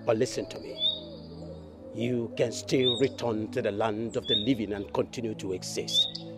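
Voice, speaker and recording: An actor playing a solemn male spirit who guides the dead, speaking slowly and gravely. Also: fairly low pitch, steady tone, lightly acted. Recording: music under the voice.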